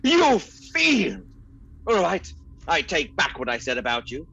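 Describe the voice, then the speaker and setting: A man plays an indignant comic hero in a radio play, wry and dry. His voice is husky and very animated in pitch, with a slightly dull recording. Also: takes it slowly, exaggerated voice. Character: indignant, wry captive male hero in comedy. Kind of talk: comedic radio play acting.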